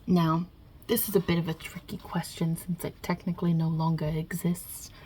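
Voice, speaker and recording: A woman's voice: lowish voice, flat delivery, normal speed, darkish tone, breathy edge, poor audio. A woman playing a non-binary Italian mob boss God with a put-on New York Italian-American accent, wry and casual with muttered asides, in an ASMR roleplay. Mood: dry, teasing.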